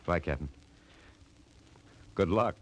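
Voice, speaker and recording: Very deep-voiced, resigned rebel. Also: male voice, slow pace. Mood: resigned calm. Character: calm, resigned male rebel archaeologist facing death. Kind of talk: 1950s radio drama acting. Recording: noisy vintage radio recording.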